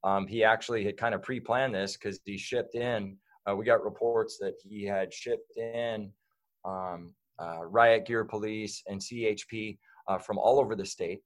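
Rambling man with a low voice. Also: steady tone, unhurried. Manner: rambling, many fillers and restarts. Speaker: guest. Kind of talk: casual interview talk.

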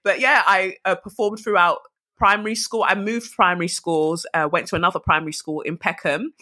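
A woman from Nigeria, a guest on a remote interview, telling lively, self-mocking stories and laughing often. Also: fairly low pitch, fairly quick. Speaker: podcast guest. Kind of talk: casual interview storytelling. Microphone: remote call.

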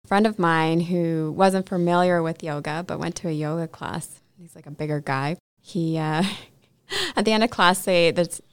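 A woman's voice: lowish voice, normal speed, smooth, no echo, clean studio sound. A woman hosting a casual conversational interview in a playful, teasing way.

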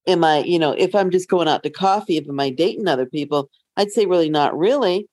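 A woman's voice: on the low side, a little fast, darkish tone, no room sound. Woman in casual chat, dry sound. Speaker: podcast co-host.

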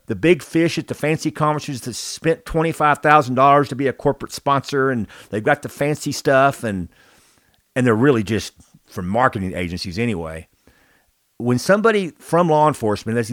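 A man's voice: medium pitch, dry recording, studio quality. An American man giving an informal, emphatic opinion monologue, telling anecdotes and acting out quoted exchanges as a host and trainer. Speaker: host, law enforcement leadership trainer.

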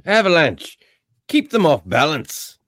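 A man playing a cocky, gruff comic-book tough guy in a live radio theatre read. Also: fairly high pitch, big pitch swings, a little slow, a bit bright, no room sound, full character voice. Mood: taunting.

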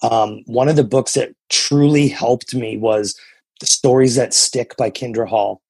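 American male coach, husky with a creaky edge, earnest and emphatic in conversational motivational delivery. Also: mid-range voice, fairly even intonation, measured pace, a little mellow, dry recording. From New England, USA. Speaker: coach and entrepreneur, interview guest.

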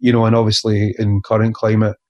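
A man, an author being interviewed, speaking earnestly and haltingly with many restarts. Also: on the low side, completely flat delivery, warm tone, dull audio. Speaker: author guest. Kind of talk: reflective interview conversation.

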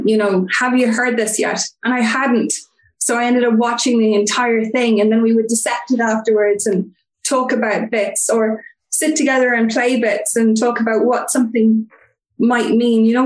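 A young woman with an Irish accent tells stories in an easy, chatty way, sprinkling in ums. Her voice sits a little high. The room around her is noticeably echoey. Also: darkish tone. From Ireland (Cork). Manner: chatty, storytelling with filler ums. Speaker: guest fan. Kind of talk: casual interview chat.

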